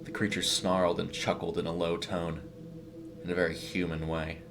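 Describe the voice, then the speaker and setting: A man's voice: low-pitched, little pitch movement, normal speed, music in the background. A man reading a scripted horror short story as its narrator.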